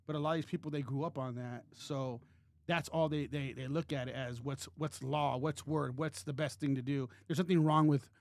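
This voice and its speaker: A man's voice: medium pitch, fairly even intonation, fairly quick, creaky, dry recording. A man co-hosting a podcast in casual chat, rambling and joking with many restarts. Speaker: podcast co-host.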